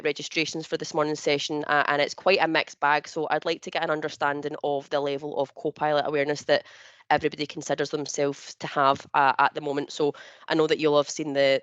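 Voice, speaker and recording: A woman's voice: low-pitched, clear, resonant tone, dry recording, lo-fi sound. A woman hosting a business webinar, speaking with many fillers and restarts over a video call. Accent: not local to the northeast of England. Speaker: webinar host and partner manager.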